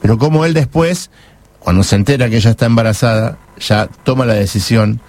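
A man's voice: mid-range voice, a little fast, a little mellow. A man with an Argentine accent hosting a radio interview, asking questions conversationally and affirming his guest. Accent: Argentine Spanish. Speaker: radio host.

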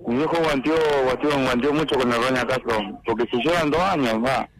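A man's voice: mid-range voice, fairly quick, crowd noise, poor audio. A young man from Patagonia with an Argentine accent, chatting informally as a boxer in a radio interview. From Puerto Madryn, Chubut, Argentina. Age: young adult. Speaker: professional boxer, interview guest.

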